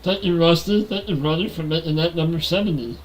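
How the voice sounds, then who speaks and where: A man's voice: fairly high pitch, fairly quick, a little hiss or hum, low-quality recording. A man in his forties with a nasal voice, chatting casually with viewers on a live stream while playing a game on a headset mic. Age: 40s. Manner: relaxed, talks to chat while playing.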